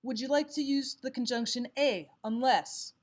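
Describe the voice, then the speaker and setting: A woman narrating a scripted lesson as a teacher, reading items aloud in a measured, instructional way. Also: a little high. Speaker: English grammar teacher.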